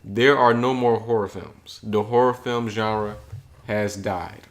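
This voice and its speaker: A man's voice: lowish voice, fairly even intonation, slow. A man co-hosting a casual podcast, agreeing often and chiming in. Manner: agreeable, backchannels a lot. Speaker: co-host. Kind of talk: casual chat.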